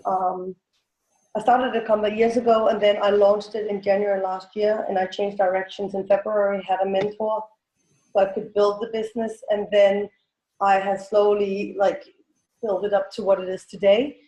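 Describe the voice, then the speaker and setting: A woman's voice: mid-range voice, flat delivery, moderate pace, dark tone, highs cut off. A woman in her forties from Denmark with a Danish accent, a native Danish speaker answering casually as an interview guest, with hesitant, self-correcting phrasing. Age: 40s. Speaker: guest, teen coach and business owner. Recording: roomy, echoing sound.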